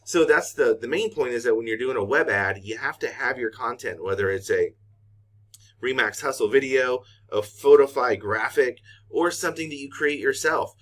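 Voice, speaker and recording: A middle-aged man, walking through a software tutorial in a casual, unscripted way as a trainer. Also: medium pitch, normal speed. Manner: casual, unscripted, thinking aloud while clicking. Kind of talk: informal screen-share tutorial.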